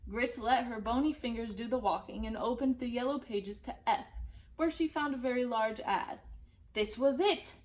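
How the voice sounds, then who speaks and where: A woman narrating a children's storybook in an expressive, deliberate and dramatic way. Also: fairly high pitch, rough recording. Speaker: storybook reader.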